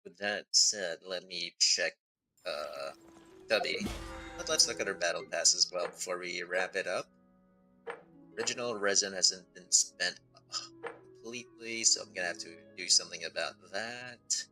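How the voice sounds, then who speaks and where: A male game streamer with a fairly low but very bright, punchy voice. He commentates casually, thinking aloud and reading from the screen, with music behind him. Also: moderate pace, poor audio. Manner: thinks aloud, reads on-screen text, asks himself questions.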